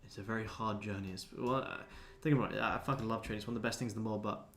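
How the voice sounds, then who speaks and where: A man's voice: lowish voice, steady tone, rapid-fire, creaky, a bit bright, slight background noise. A man in his twenties chatting casually as a livestream host answering viewers, laid-back, blokey and sweary. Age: 20s. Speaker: livestream host, day trader.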